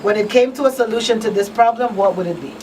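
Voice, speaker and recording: A woman's voice: a little high, fast, a little mellow. A woman hosting a live talk show in a conversational style. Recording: distant mic with background noise.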